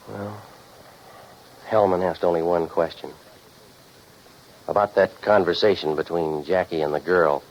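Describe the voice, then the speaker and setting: Deep male voice, American, wisecracking radio detective, deadpan noir narration, muffled old broadcast with light noise. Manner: deadpan, sardonic. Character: hard-boiled, wisecracking male private eye narrating his case. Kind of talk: 1940s radio noir acting, scripted first-person narration. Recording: muffled, noisy 1940s radio recording.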